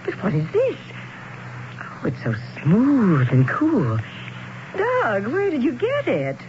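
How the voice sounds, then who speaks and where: A muffled, hissy old radio drama recording. an anxious, doting American wife with a fairly high voice that swoops dramatically as she fusses. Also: slow pace, heavily acted. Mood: curious. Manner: solicitous, expressive. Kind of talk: 1970s radio drama acting.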